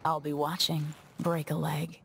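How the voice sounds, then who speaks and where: Low, cool, commanding young royal. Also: female voice, flat delivery, measured pace, gravelly, a little hiss or hum. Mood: cool resolve. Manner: terse, controlled, businesslike. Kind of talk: video game cutscene acting.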